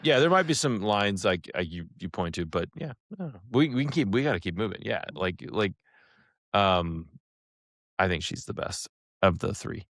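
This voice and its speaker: A man co-hosting a podcast in casual review discussion, talking in halting bursts with many asides. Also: low voice, animated, a bit bright, no room sound, clean studio sound. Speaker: podcast co-host.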